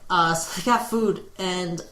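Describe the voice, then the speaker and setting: A man co-hosting a podcast, chatting casually and telling stories in a rambling, hesitant way full of pauses. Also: sits high, bright, forceful tone. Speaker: podcast co-host. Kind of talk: casual chat. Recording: roomy echo, faint background noise.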